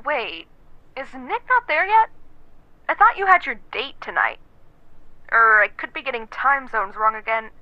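Blunt young friend on dull phone. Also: female voice, a little high, very animated, measured pace, long pauses, no echo. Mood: puzzled. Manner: blunt, exasperated. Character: bossy, blunt young female best friend. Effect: telephone. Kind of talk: naturalistic audio drama acting. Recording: dull, dry phone-call sound.

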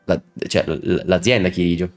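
A man's voice: low-pitched, steady tone, normal speed. A man in his thirties chatting and commenting on a live game stream, now and then slurring his words. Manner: sometimes slurred articulation. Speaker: streamer reading game dialogue aloud. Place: indoor room, streaming setup. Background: game music.

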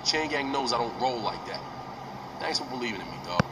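A man's voice: mid-range voice, lively intonation, fairly quick, natural voice. An actor playing a brash young male wrestler who talks street slang in a video game cutscene. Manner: trash-talking street slang. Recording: distant, roomy, noisy game audio.

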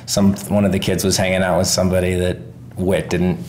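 A man's voice: sits low, level tone, brisk, background noise. A man in casual podcast chat with a wry, self-deprecating way of talking.

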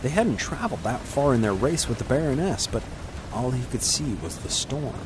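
A man's voice: mid-range voice, very animated, moderate pace, background noise. A man narrating a scripted adventure story in an intense, dramatic storytelling manner.

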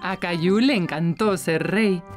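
A woman's voice: on the low side, a little slow, soft background music. A woman narrating a scripted story for young children.

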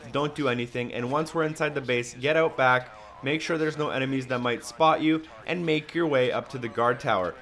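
A man's voice: medium pitch, a bit bright. Man narrating a casual game walkthrough. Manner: instructional, step-by-step. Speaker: video game guide narrator. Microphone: voice-over on a microphone.

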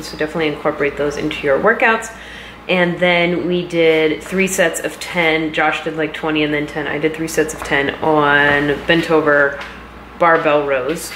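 A woman's voice: low-pitched, a bit bright. An American woman in her thirties chatting casually and explaining things as a fitness vlogger, recorded on camera at home. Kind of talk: casual vlog chat and explaining. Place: home kitchen, indoors. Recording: roomy kitchen with some background noise.

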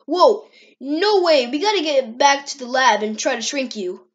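A woman's voice: sits high, normal speed, dull audio, close to an everyday voice. A woman voicing a brash young male hedgehog, a worried best friend, in an amateur comic dub.

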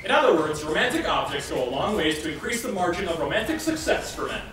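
A young man playing an earnest, mock-serious male romance scientist in a comic stage lecture, announcing with deliberate, projected authority. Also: on the high side, big pitch swings, soft background music. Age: young adult. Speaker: stage actor co-presenting rules of rom-coms. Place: large indoor theater stage. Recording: echoey theater from far off, loud audience.

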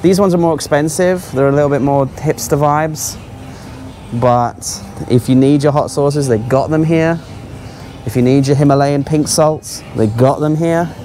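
A man's voice: a little high, a little slow, mellow, slight background noise. A man giving casual, offhand, exclaiming commentary as a travel vlogger walking around a supermarket. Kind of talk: casual running vlog commentary. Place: large supermarket aisles.

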